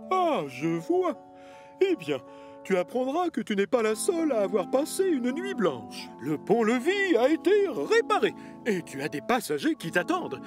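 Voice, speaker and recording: Stern, high-pitched, swooping boss. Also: male voice, huge pitch swings, normal speed, over music, exaggerated voice. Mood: firm but forgiving. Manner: pompous, reprimanding. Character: stern, scolding male railway controller, boss. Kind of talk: children's cartoon dub acting.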